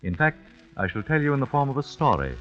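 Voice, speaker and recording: An actor playing a secretive, wealthy father and dinner host in an old radio drama, speaking in a measured, formal way. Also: mid-range voice, sing-song, fairly bright tone. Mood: courteous, mysterious. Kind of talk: 1940s radio drama acting. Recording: muffled old radio recording, faint music.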